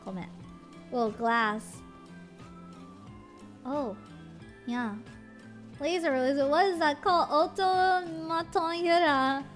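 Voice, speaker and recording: A woman's voice: high voice, slow pace, pauses often, faint music behind. A woman streamer chatting casually and giggling, switching between English and Japanese. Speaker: VTuber streamer. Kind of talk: casual live-stream chat.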